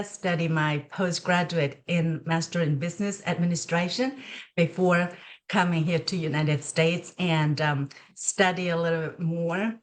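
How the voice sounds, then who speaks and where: A middle-aged woman with a Thai accent talks as an interview guest in a slightly low voice. She speaks very slowly and with hesitation, pausing often and filling the gaps with soft fillers as she gathers her thoughts. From Thailand. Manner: slow and hesitant, many pauses and fillers. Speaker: guest, registered nurse. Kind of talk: conversational interview.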